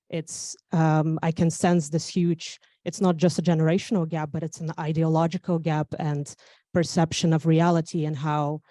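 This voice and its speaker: A woman's voice: low-pitched, dark tone, no room sound, studio quality. A young woman from Bulgaria with a Bulgarian accent giving a conference talk, hesitant and full of fillers and restarts. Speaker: conference speaker. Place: conference venue.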